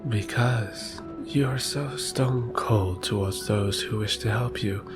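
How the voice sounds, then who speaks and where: A man's voice: fairly low pitch, slow, loud music behind the voice. An actor playing a wry, needling councilman in a fantasy audio drama. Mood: teasing.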